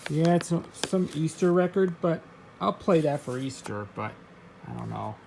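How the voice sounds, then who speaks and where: A man casually showing his record collection, reading out titles in a halting, offhand way. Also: a little high, expressive, vocal fry, darkish tone, a little hiss or hum, low-quality recording. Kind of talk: casual show-and-tell vlog.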